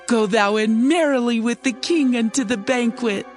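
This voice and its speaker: An actress playing the scheming wife of a court official, eager and cajoling. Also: female voice, on the high side, moderate pace, faint music behind, close to an everyday voice.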